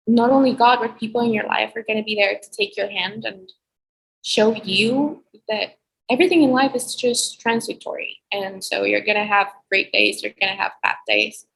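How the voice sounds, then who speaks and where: A woman's voice: medium pitch, a bit bright, low-quality recording. A young adult woman, a guest on a video-call interview, giving heartfelt, reflective advice about faith and healing. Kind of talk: earnest faith-based advice.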